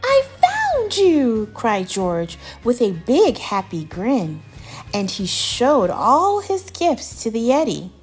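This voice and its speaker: A woman reading aloud as a teacher, telling a children's story in an expressive, deliberate way. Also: medium pitch, soft background music. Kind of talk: animated children's storybook narration.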